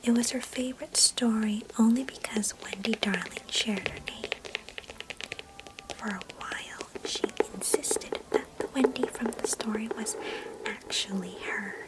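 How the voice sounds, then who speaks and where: This is a woman acting as a chatty, affectionate female cousin in an ASMR roleplay. She speaks entirely in a breathy whisper. Her delivery is gentle and unhurried, with a nostalgic, fond temper. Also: a little high, steady tone, lightly acted, low-quality recording.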